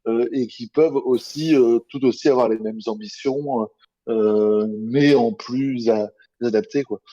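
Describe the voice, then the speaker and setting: A man's voice: medium pitch, unhurried, warm tone, dull audio. A man giving tentative, hedged opinions in a casual, unscripted discussion. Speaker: podcast debate participant, audio fiction creator.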